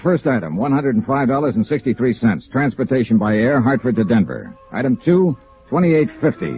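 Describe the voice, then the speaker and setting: An American man playing a brisk, wry insurance investigator in a 1950s radio noir, clipped and matter-of-fact. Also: medium pitch. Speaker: lead actor and narrator. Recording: muffled old radio broadcast with music.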